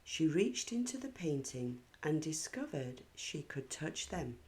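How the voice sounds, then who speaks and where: A woman's voice: deep voice, very animated, unhurried, a little mellow, poor audio. A woman hosting and narrating a children's storybook read-aloud.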